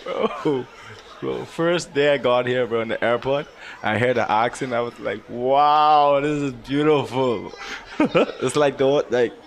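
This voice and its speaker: A man's voice: mid-range voice, slow, vocal fry, slight background noise. A young man with a Bahamian accent, a guest baseball player joining the commentary booth, playful and laughing, repeating his calls.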